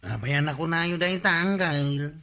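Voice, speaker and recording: High, sharp-voiced exasperated villager. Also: male voice, moderate pace. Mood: incredulous. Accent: Ilocano. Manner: incredulous, exclamatory. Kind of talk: broad regional radio comedy drama acting.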